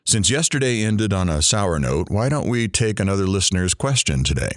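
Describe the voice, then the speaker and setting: A man playing a low-voiced, swooping radio show host. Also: very animated, fairly quick, dry recording, clean studio sound. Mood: upbeat, showy. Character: chatty radio talk show host, teasing and showy.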